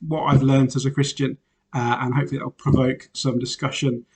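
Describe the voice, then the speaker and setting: A man's voice: mid-range voice, brisk. A man, a priest born in Wales and raised in England, giving an informal, chatty self-introduction to his parishioners with frequent "uh" fillers. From Wales, raised in Northamptonshire, England. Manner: relaxed and chatty, many "uh" fillers, light laughs.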